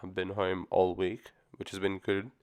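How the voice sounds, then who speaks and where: A man's voice: low voice, flat delivery, moderate pace, darkish tone. A man talking casually on his own channel about tennis, easygoing and rambling with plenty of ums, his voice slightly off as he gets over the flu. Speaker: tennis commentary vlogger. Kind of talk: casual solo opinion talk.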